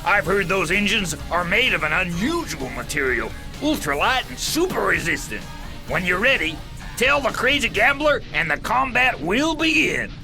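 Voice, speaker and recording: An actor playing a bossy, scheming robot police chief in a video game, briskly giving instructions. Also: male voice, high-pitched, very animated, creaky, full character voice. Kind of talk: video game cutscene acting. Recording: loud music behind the voice.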